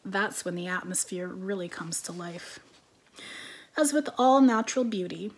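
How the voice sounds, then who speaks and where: Woman reading her essays softly. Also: on the low side, slight background noise. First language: French. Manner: calm, measured reading with quiet, breathy trailing phrase ends. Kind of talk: reading lyric essays aloud. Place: living room at home. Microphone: laptop camera, webcam framing.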